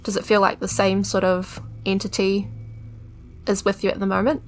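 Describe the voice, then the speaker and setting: A woman's voice: medium pitch, level tone, moderate pace. A woman, chatting casually as a player in a tabletop game.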